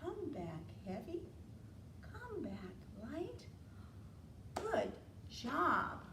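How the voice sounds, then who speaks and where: An American woman leading an interactive storytime for preschoolers, asking simple questions and drawing out key words in an animated way. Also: high-pitched, extremely slow. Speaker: children's storytime librarian. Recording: roomy, distant mic, faint room noise.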